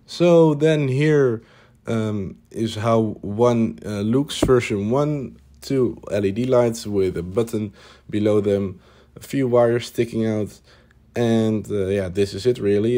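Mellow-voiced man narrating his hobby build. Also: lowish voice, measured pace. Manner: hesitant, many "uh" fillers, plain upbeat remarks. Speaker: hobby builder showing his home cockpit project. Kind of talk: casual voice-over narration.

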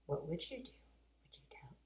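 A woman in her forties reading a children's storybook aloud in an expressive, deliberate storytelling manner. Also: whispered. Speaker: guest reader. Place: home kitchen and dining room indoors. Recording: roomy home kitchen echo.

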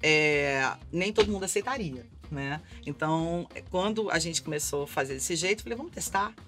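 A woman's voice: low-pitched, normal speed, music in the background. A middle-aged woman from Belo Horizonte with a Mineiro accent, an advertising executive in a seated studio interview. She talks energetically, often ending with a questioning "né?". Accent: Mineiro Brazilian Portuguese. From Belo Horizonte, Brazil. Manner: energetic, talks with her hands, frequent "né?". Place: small indoor studio set.

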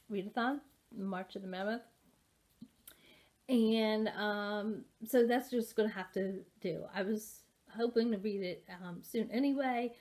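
A woman's voice: medium pitch, darkish tone. A woman in her forties from the United States, rambling casually about books in a home study with many fillers and restarts. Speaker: booktuber. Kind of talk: casual rambling book vlog. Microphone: webcam at desk.

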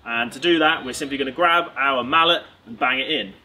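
A man's voice: mid-range voice, brisk, bright, forceful tone. A young British man presenting an upbeat step-by-step tutorial outdoors in a garden. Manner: upbeat, clear instructional delivery.

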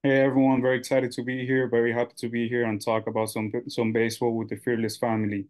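A man's voice: medium pitch, monotone, quick pace, darkish tone. A young man from Ecuador, a baseball coach, answering interview questions in a loose, rambling way with many fillers.

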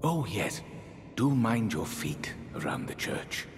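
Deep, slow, genteel sorcerer priest. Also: male voice, very animated, music in the background. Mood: gently indulgent. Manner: theatrical, archaic, drawn-out phrasing. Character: genteel, eerie aristocratic sorcerer high priest, grandiloquent and soothing. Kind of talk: dramatic fantasy game character dialogue. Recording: echoey hall reverb, faint rumbling noise.

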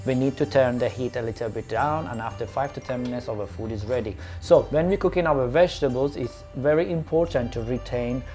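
Guy pitching cookware in a kitchen demo, middle pitch, faint music behind. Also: fairly quick, few pauses, a little mellow. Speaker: presenter and cookware promoter. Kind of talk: promotional cooking demonstration. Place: indoor demonstration kitchen.

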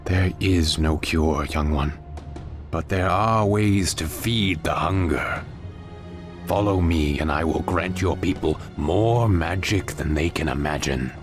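An actor playing a commanding exiled demon lord in a fantasy game, brooding and portentous. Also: male voice, low voice, slow, music in the background. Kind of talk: dramatic fantasy game cutscene acting.

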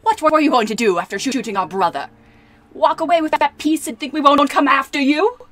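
A woman's voice: high voice, fairly quick, a bit bright. A woman playing a stern, accusing winged female creature, a protective sister, in an audio drama. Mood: stern anger.

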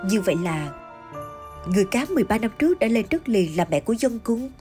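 Reserved, proud cartoon teen girl, dark mellow tone, terse, faint music. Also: on the high side, very animated, quick pace. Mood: serious. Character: cool, reserved teenage girl, curt and proud. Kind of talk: Vietnamese cartoon dubbing.

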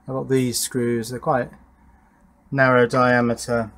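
A man's voice: mid-range voice, flat delivery, slow pace, a bit bright. A middle-aged man narrating hands-on electronics work casually, thinking aloud as he goes. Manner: informal, thinking aloud while working. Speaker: electronics hobbyist presenting his workshop project. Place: small wooden garden shed workshop.

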